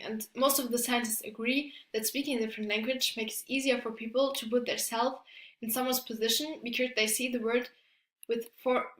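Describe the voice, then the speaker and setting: Higher-voiced woman giving a halting student presentation. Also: fairly bright tone. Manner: halting, stumbling over words. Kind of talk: prepared academic presentation. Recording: echoey room.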